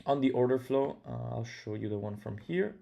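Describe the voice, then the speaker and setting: A man's voice: medium pitch, expressive, brisk, vocal fry, highs cut off. A young man with an Italian accent hosting a live trading stream from home, talking casually and thinking aloud with fillers. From Italy. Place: his home.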